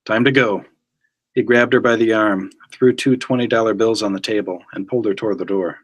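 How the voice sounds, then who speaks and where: A man narrates fiction from a script. His voice sits on the low side and has a husky, rough edge. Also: steady tone, fairly quick. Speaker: guest reader.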